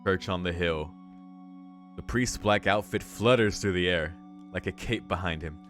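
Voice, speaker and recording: A man reading a visual novel's story aloud for a let's play, breaking into casual, excitable reactions with some swearing. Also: deep voice, normal speed. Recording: music behind the voice.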